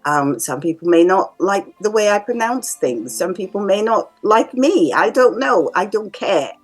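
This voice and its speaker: A woman who works as a psychic reader and medium, explaining in a calm, measured way to her viewers. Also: mid-range voice. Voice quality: calming voice. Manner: calm, measured explaining with lively rises and drops. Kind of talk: casual explanatory talk to camera. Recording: faint music behind. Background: faint music.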